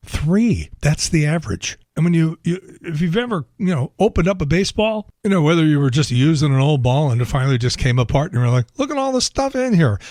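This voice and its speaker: Hoarse middle-aged host. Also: male voice, on the high side, dry recording, clean studio sound. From south side of Chicago. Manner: rambling, wry, chuckling asides. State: hoarse from laryngitis. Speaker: radio show host. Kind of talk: casual talk monologue.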